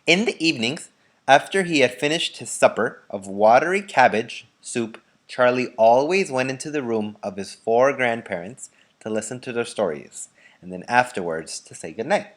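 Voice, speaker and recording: A man reading a children's story aloud with expressive, dramatic emphasis. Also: medium pitch, normal speed. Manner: dramatic emphasis with informal asides. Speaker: read-aloud narrator.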